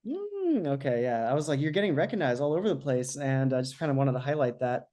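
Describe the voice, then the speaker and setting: A man's voice: mid-range voice, big pitch swings, brisk, warm tone. Man hosting a podcast over video call. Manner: friendly, a little hesitant with fillers. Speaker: podcast host. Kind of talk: interview questions. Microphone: webcam on a video call.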